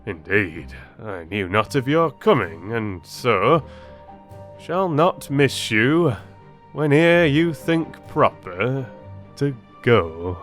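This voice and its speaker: Man acting a slow, unbothered storybook bull. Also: mid-range voice, very animated, a bit bright, soft background music, heavily acted. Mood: dismissive calm. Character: large unbothered bull, calm and dismissive. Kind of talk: rhymed storybook fable read aloud.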